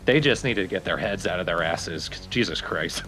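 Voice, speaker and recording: A man's voice: sits low, expressive, normal speed, pushed and bright. A man giving casual, analytical and opinionated commentary on a video game. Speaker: let's play co-commentator. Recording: background music under the voice. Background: game music.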